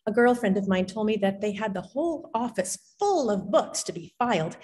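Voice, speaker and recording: A middle-aged woman reading a letter aloud expressively, clearly enunciated and conversational, like an actress at a podium microphone. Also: medium pitch, expressive, normal speed, a little mellow. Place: indoor auditorium stage at a lectern. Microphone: gooseneck podium microphone.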